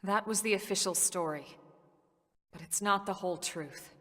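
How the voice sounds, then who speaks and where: A woman's voice: medium pitch, a bit bright, close to an everyday voice. Woman as a composed commander in long reverb. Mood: grave. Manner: firm, measured, authoritative. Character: composed, resolute female military team leader. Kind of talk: dramatic video game cutscene acting. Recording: long reverb, music behind.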